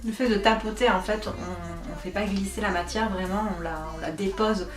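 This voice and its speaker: A woman's voice: mid-range voice, normal speed, recorded from a distance. Young woman beauty vlogger, casual self-mocking chat, roomy echo, a bit off-mic with faint music behind. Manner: chatty, self-mocking irony, frequent fillers. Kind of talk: casual makeup tutorial.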